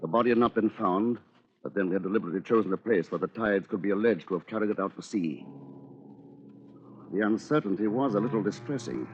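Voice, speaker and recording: An actor playing a cold, conniving attorney with a formal, pompous, calculating manner in an old-time radio drama. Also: male voice, lowish voice, fast, lightly acted. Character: cold, conniving male attorney, cleverly manipulative. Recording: muffled old radio recording, music behind.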